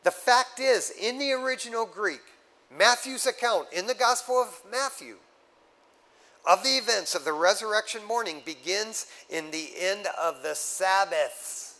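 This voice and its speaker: Animated male preacher teaching scripture. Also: sits high, a little slow, rough recording. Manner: animated teaching with asides to the congregation. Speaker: pastor. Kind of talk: sermon.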